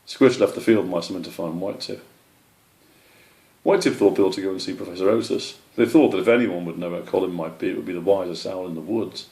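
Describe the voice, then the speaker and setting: Mellow, expressive male storyteller in a roomy space. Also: low voice, a little fast, creaky. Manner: expressive, with character voices for animals. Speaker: storyteller reading his own children's story. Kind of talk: read-aloud children's story narration. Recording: roomy, echoey sound.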